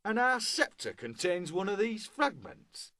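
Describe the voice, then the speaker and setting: An actor playing a gruff, proud old dwarf king in a fantasy video game, commanding and theatrical. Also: high-pitched, big pitch swings, a little slow, bright, forceful tone, dry recording, exaggerated voice. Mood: sceptical.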